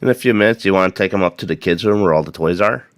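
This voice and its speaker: A man with a very deep voice questions the spirits in a manor, cracking jokes between questions. His voice sounds close and dry, with no room around it. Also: rapid-fire, smooth, no echo. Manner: joking, direct questioning. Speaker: paranormal investigator. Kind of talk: questioning spirits, joking banter.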